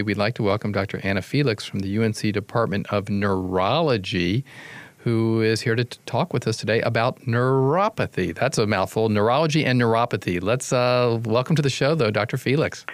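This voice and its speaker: A man's voice: lowish voice, no echo. Chatty man hosting a radio show. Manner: chatty, halting, restarts, laughs easily. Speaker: radio host, family doctor.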